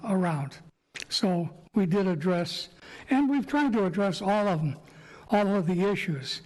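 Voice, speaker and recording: A man with a sore throat and a slightly raspy voice, giving a halting public presentation to a city council. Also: sits high, a little mellow. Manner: slow, halting, many fillers. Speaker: applicant speaking at council meeting. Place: council chamber. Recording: echoey council chamber.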